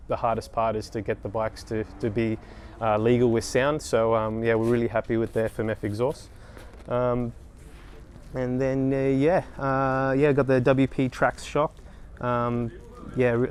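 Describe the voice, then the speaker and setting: Man, 30s, race mechanic, casual interview, hesitant, monotone. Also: mid-range voice, slight background noise. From Australia. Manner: hesitant, many ums and restarts.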